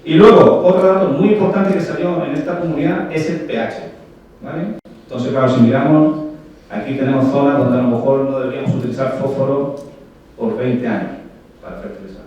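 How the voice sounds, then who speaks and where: A man's voice: medium pitch, brisk, a little mellow, lo-fi sound. A man from Chile with a Chilean Spanish accent, giving a technical conference talk and often checking in with "¿vale?" and rhetorical questions to the audience. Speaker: agronomy speaker. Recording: very echoey hall, voice heard from far off.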